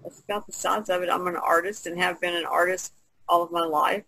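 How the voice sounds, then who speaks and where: Flat-pitched, bright-voiced older American woman. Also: fairly quick, low-quality recording. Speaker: artist, podcast participant. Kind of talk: casual self-introduction on a video call.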